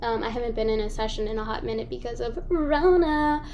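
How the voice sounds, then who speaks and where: A young adult woman, a voice actress guest, chatting casually on a podcast with some vocal fry and many fillers. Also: fairly high pitch, brisk, a little mellow, a little hiss or hum. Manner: chatty, lots of fillers and restarts.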